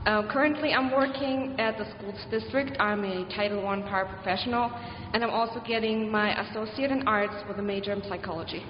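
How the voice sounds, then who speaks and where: A woman's voice: medium pitch, brisk, a little hiss or hum. German-accented woman in an echoey hall. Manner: hesitant, with soft laughs and fillers. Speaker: candidate. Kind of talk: opening statement at a candidate forum. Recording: echoey hall, dull sound.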